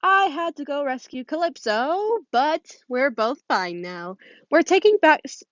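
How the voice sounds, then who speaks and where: A woman's voice: sits high, sing-song, unhurried, no room sound, voice pushed up. A woman voicing a cheeky, upbeat teenage boy with a breezy manner in an amateur story reading. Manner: jaunty, breezy. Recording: muffled phone recording.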